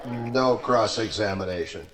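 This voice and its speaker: A man's voice: fairly low pitch, music in the background, close to an everyday voice. Man playing a stern prosecutor in echoing court. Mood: probing. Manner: formal, deliberate, oratorical. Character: stern, pointed small-town prosecutor in court. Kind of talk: old-time radio drama acting.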